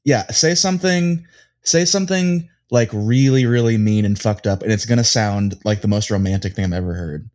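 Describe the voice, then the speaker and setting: A man with a fairly low voice who chimes into a casual chat. He makes joking, eager interjections. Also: moderate pace, a little mellow.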